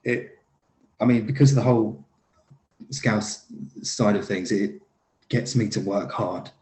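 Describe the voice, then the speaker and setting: A man's voice: fairly low pitch, unhurried, darkish tone. A man being interviewed about his acting work, talking casually with many fillers, restarts and easy laughs. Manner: laughs easily. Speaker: lead actor guest. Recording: echoey room.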